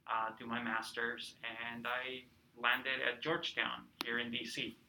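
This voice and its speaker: Bright-voiced Peruvian-born man in his thirties. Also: medium pitch, flat delivery, a little slow, vocal fry, a little hiss or hum, low-quality recording. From Peru. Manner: warm storytelling with many fillers. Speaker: guest, cultural center director. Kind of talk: casual interview chat.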